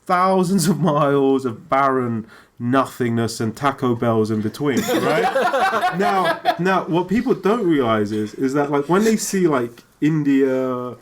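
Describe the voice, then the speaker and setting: A man's voice: medium pitch, unhurried. A man with an English accent, a guest on a podcast, chatting casually and joking as he explains. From Britain. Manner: lively joking storyteller, laughs often. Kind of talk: casual chat.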